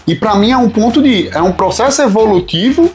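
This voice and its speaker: A man's voice: high-pitched, animated, a little fast, darkish tone. A man with a Pernambuco accent from Northeastern Brazil, talking as a podcast guest in a casual, slangy, joking way. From Caruaru, Pernambuco, Brazil. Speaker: podcast guest, business partner in a management firm. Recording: music playing behind the voice. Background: music.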